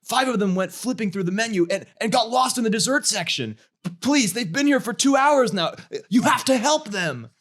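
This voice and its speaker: Man playing a panicked, shouting schoolteacher. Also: high-pitched, lively intonation, moderate pace, hardly any pauses. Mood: panicked, pleading. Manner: shouting, desperate, melodramatic. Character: panicked male schoolteacher pleading for rescue. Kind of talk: comedy sketch table read.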